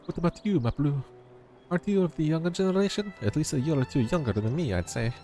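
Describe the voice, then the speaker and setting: A man's voice: fairly high pitch, animated, fast, natural voice. A man voicing a plucky, determined young woman who seeks a throne, reading fantasy game dialogue aloud. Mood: frank, teasing. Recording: loud music under the voice.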